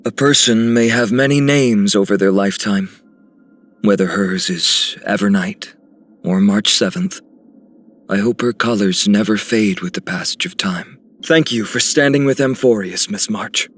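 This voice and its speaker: An actor playing a solemn, noble game hero with a formal, reverent delivery. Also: male voice, lowish voice, measured pace. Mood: gentle, grateful. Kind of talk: scripted game character lines.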